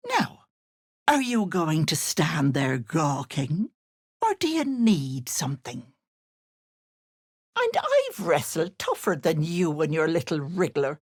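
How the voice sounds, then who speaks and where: Old hag, game villain, sugary and menacing, animated swooping pitch, unhurried, dry close sound. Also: female voice, husky, no echo, exaggerated voice. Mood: menacing, scornful. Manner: sugary endearments with sly, mocking menace. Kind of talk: video game character acting.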